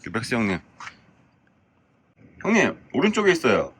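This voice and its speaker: A man's voice: medium pitch, wildly swooping pitch, normal speed. A man talking casually and politely to viewers as a camping vlogger, recorded at a winter campsite. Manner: polite, chatty, addressing the audience. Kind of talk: casual narration to viewers while camping alone. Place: winter campsite, outdoors and in a tent. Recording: clear outdoor ambient noise.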